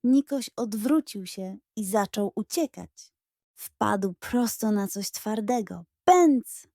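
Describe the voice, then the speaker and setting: A woman storyteller reading a scripted children's bedtime story in an expressive, dramatic and suspenseful manner. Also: mid-range voice, slow pace, no echo, studio quality.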